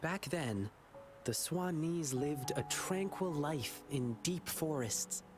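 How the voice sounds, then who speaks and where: A man voicing an earnest, boyish young lion dancer in a video game, telling his story in measured, wistful dialogue. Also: fairly high pitch, expressive. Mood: solemn. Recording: game music underneath.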